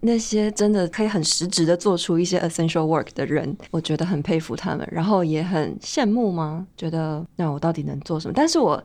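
A woman with a Taiwanese Mandarin accent telling stories in a casual, reflective chat, thoughtful and unhurried. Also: fairly low pitch, darkish tone. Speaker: stage actor, podcast guest.

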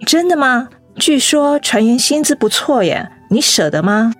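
An actress with a Taiwanese accent playing a principled young volunteer in a radio drama, thoughtful, resolute and articulate. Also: female voice, sits high, sing-song, measured pace, faint music behind, heavily acted. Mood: bright, friendly. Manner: earnest, articulate. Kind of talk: Mandarin radio drama acting.

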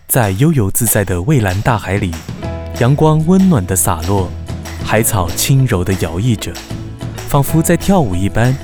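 A man narrating a scripted children's story in an expressive storyteller's way. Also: medium pitch. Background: music.

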